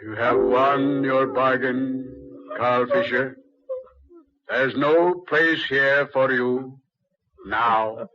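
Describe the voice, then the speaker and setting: An actor in a 1940s radio drama playing the Devil, solemn, supernatural and coldly final. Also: male voice, mid-range voice, steady tone, very slow, long pauses, over loud music. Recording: muffled 1940s radio recording.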